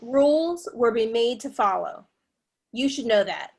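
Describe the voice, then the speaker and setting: A woman's voice: fairly high pitch, lively intonation, a little slow, vocal fry, darkish tone, slightly rough, heavily acted. A woman playing a cold, righteous cult leader in a dramatic table read, stern and imperious in her delivery. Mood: defiant.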